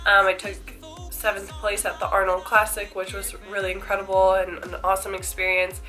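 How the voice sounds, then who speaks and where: A woman's voice: medium pitch, completely flat delivery, a little fast, bright, forceful tone, soft background music. A young woman with a congested voice from a cold, chatting candidly to camera about her fitness routine. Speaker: fitness vlogger. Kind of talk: casual chat to camera.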